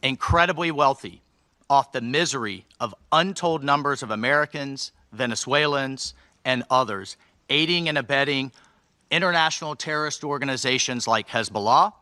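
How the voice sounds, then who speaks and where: A man delivering a formal prepared speech at a measured pace, reading from a text. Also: mid-range voice, pushed and bright, no echo. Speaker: official giving a speech.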